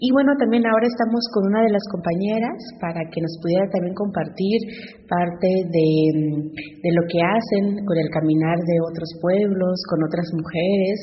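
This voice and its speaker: A woman's voice: fairly low pitch, moderate pace. A woman reporting from the field, speaking loosely with many fillers. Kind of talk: on-location report. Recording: muffled with long reverb and faint noise.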